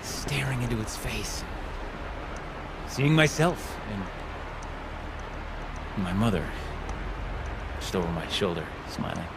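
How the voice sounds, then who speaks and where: An actor playing an eccentric, excitable older male tinkerer in a video game, with a theatrical, wordy way of talking. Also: lowish voice, takes it slowly, gravelly, pauses often, very noisy, full character voice. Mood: wistful. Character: eccentric, excitable older man, rambling self-taught tinkerer. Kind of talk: video game character acting.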